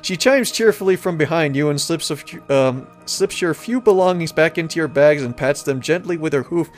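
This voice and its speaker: A man reading a visual novel aloud as a let's player, casually narrating and adding halting, bemused asides. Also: a little high, faint music behind. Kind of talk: casual gameplay narration and commentary.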